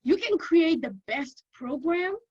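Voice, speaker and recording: A woman with a Kenyan accent giving an energetic informational talk to prospective students, often checking in with "okay?". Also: sits high, slow pace, lo-fi sound. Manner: energetic, keeps checking in with "okay?", repeats for emphasis. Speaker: university lecturer.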